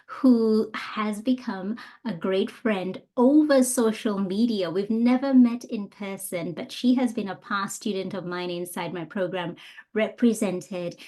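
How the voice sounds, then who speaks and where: A woman in her thirties hosting a podcast, reading a guest introduction and then asking questions in a measured, encouraging way. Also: mid-range voice, mellow. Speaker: podcast host. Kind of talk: scripted intro, then interview.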